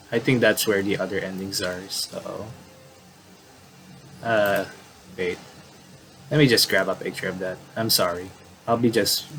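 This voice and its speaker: Guy with kinda low voice doing a chill game playthrough, reads text out and stumbles, faint noise. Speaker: video game commentator. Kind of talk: casual playthrough, reading visual novel text aloud.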